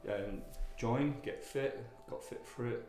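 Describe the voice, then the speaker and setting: A man from Wales, a guest telling his own story in a candid interview, halting, with many fillers and restarts. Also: mid-range voice, warm tone. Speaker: guest, army veteran. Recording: echoey room with music behind.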